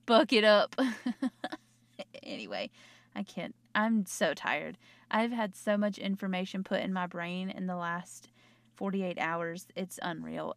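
A young woman with a North Carolina Southern accent, chatting casually and rambling, her voice slightly hoarse. She is a nurse talking into her own microphone in a small closet at home. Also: mid-range voice, dry recording. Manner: rambling, chatty, self-interrupting. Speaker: nurse and podcaster. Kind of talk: casual late-night chat.

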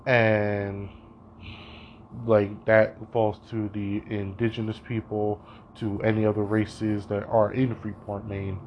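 Young man, student reflection; slow, halting, filler-heavy delivery, low-ish voice, dull recording. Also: flat delivery, a little hiss or hum. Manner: hesitant, thinking aloud, says "you know" a lot. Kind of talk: spoken reading reflection.

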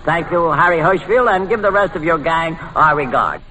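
An actor playing an exasperated, mock-formal quizmaster on an old radio comedy show. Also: male voice, a little high, normal speed, natural voice. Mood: brisk. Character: exasperated male quizmaster, sparring with dim panelists. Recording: noisy old radio broadcast recording.

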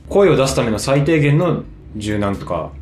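A man chatting casually and explaining things in an animated way, acting out the odd quoted line. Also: mid-range voice, moderate pace, echoey room. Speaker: podcast host, stage performer. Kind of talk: casual chat about acting training.

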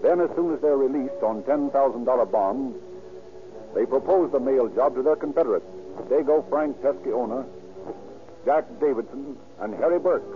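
A man's voice: mid-range voice, a little hiss or hum. A man with an American accent narrating an old-time radio crime drama in a grave, declamatory manner. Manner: grave, declamatory, deliberate emphasis. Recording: muffled old radio recording, music behind.

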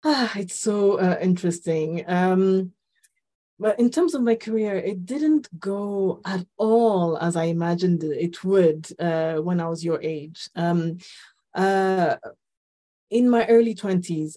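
A woman in her forties from Ethiopia talks as a podcast guest. Her voice sits fairly low and sounds warm and mellow. She reflects as she goes and often stops on soft fillers. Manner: thoughtful, with frequent uh and um fillers. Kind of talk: conversational podcast interview.

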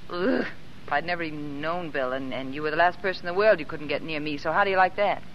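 An actress playing a plainspoken young sweetheart in a 1930s film style. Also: female voice, sits low, fast talker, slight background noise, lightly acted. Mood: scornful, firm. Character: plainspoken young sweetheart of a tough man, Trina. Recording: dull vintage film sound, music behind.

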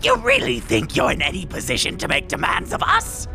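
A man's voice: a little high, huge pitch swings, brisk, vocal fry, bright, forceful tone, soft background music, exaggerated voice. A man voicing a pompous, easily exasperated paladin villain leader in a comedic cartoon, speaking grandly. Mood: haughty, wary.

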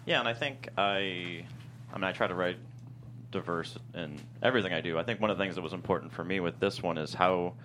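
A man's voice: deep voice, brisk, fairly bright tone. A man speaking as a screenwriter in a casual, reflective interview about his work. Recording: faint hum and background noise.

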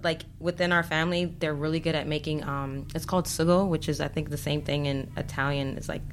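A chatty American woman with a low-pitched voice, talking casually as a guest on a podcast. Her delivery is informal and conversational, over faint background noise. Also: steady tone, a little fast. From United States. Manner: chatty, informal, uses "like" often. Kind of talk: casual chat.

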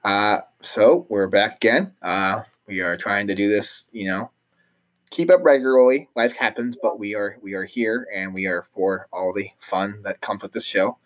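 Male podcast co-host, relaxed and rambling, talks in loose casual chat. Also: fairly low pitch, moderate pace. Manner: rambling, easygoing, full of fillers.

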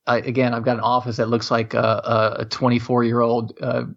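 A man in his fifties chatting casually on a podcast, rambling and halting with lots of fillers. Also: mid-range voice, monotone, gravelly. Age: 50s. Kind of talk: casual chat. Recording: dull lo-fi sound.

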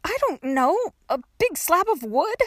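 A woman's voice: very high-pitched, animated, brisk, dry recording, voice pushed up. A woman voicing a desperate, exasperated young girl, pleading urgently and emphatically in an audiobook.

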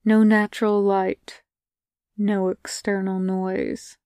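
A woman reading a fan fiction story aloud, in slow, hushed and fragmented narration. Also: mid-range voice, flat delivery, smooth, no echo, studio quality. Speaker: podfic reader.

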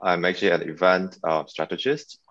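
A man's voice: sits low, a little fast, a bit bright. A man in his forties who owns an event planning company, answering interview questions in a plain, matter-of-fact way. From Malaysia. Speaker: event planning company owner, podcast guest. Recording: dull lo-fi audio.

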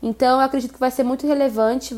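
A woman's voice: sits high, fairly even intonation, very fast, a little mellow. Chatty, upbeat woman hosting a podcast. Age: around 30. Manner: chatty, upbeat, addresses listeners directly. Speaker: podcast host. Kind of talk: casual podcast interview.

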